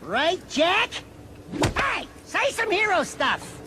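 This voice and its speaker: An actor playing a cocky but cowardly wisecracking sidekick in a video game, excitable and sarcastic. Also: male voice, very high voice, slow, heavy background noise, exaggerated voice. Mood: nervous bravado.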